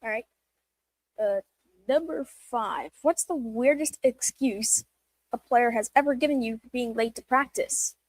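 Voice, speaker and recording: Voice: mid-range voice, unhurried, no room sound, poor audio. A junior reporter reading out numbered interview questions.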